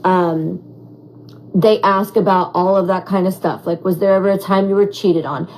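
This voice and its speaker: A raspy young woman answering fan questions in a casual, animated chat, full of "like" and "um". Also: on the low side, moderate pace, slight background noise, poor audio. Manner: animated, chatty, heavy on fillers like "like" and "um". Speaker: former reality show contestant.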